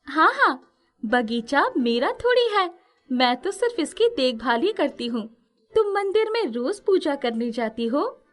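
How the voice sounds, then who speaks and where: A woman acts out a young storybook fairy in the guise of a girl. Her voice is very high and lifted, with an eager, friendly, questioning manner and measured pace. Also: sing-song. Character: curious young female fairy disguised as a girl.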